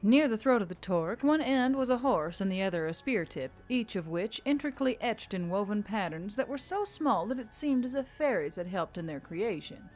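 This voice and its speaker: A woman's voice: medium pitch, expressive, a little fast, few pauses, a little mellow. A woman reading scripted fiction as a dramatic narrator. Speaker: story narrator.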